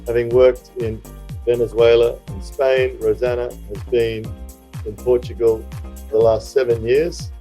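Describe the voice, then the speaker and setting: A man's voice: medium pitch, flat delivery, a little slow, warm tone, soft background music. A man hosting an interview podcast in a polished, courteous presenting style. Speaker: podcast host.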